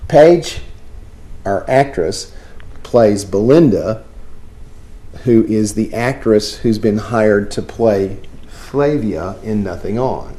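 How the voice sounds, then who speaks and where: Chatty, laughing theater director, low-voiced man. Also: expressive, slow pace, long pauses, dark tone, rough recording. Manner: chatty, laughing, self-deprecating. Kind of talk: casual interview chat.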